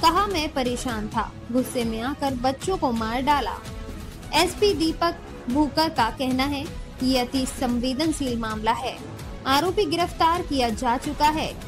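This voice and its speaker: A woman's voice: high-pitched, unhurried, music in the background. A woman reads a scripted crime news story in a dramatic, emphatic narrator's style. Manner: emphatic, dramatic delivery with rhetorical questions.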